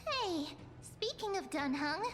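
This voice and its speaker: A woman's voice: very high-pitched, very animated, slow, in a large hall, full character voice. An actress playing a small, perky young female companion in a video game, playful and chatty. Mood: playful, curious. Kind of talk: scripted video game acting.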